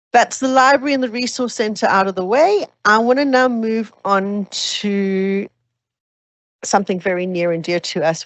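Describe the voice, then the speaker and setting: Measured woman presenter. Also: mid-range voice, no echo. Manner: explanatory, measured, with fillers. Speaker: orientation presenter. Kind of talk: explaining procedures at orientation.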